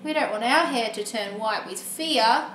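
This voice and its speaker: A woman voicing a cranky, pleading male storybook character with melodramatic, drawn-out exclamations. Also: on the high side, a bit bright, natural voice. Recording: roomy echo, faint background noise.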